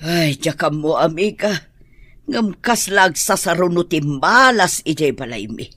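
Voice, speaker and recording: A woman's voice: lowish voice, expressive, unhurried, fairly bright tone, no room sound. An actress with an Ilocano Filipino accent playing an exasperated, well-off middle-aged mother in a radio drama. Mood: troubled.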